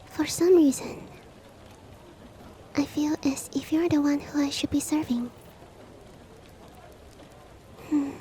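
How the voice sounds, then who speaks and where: A woman playing a polite, devoted shapeshifting monster-girl maid in an ASMR roleplay, speaking softly and often in a whisper, with formal, gently earnest courtesy. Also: high voice. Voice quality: soft, breathy, often whispered. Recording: faint ambient rain noise behind. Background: soft rain ambience.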